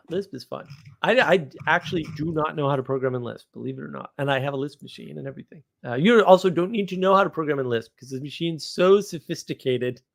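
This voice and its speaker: A man with a slightly high voice hosts a live stream in a casual, unscripted way. He rambles from thought to thought and states his opinions bluntly. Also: vocal fry, a bit bright.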